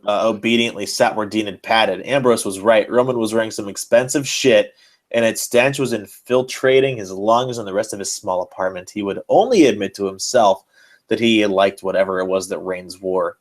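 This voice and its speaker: A man hosting a podcast, giving a mock-serious dramatic reading of fan fiction. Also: lowish voice. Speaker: podcast host.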